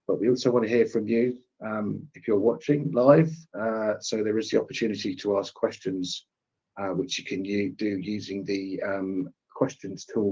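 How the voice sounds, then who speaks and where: A man hosting an online session with an informal introduction, speaking in a hesitant, halting way. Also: lowish voice, a little mellow, slightly rough, lo-fi sound. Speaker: webinar host. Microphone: online webinar call.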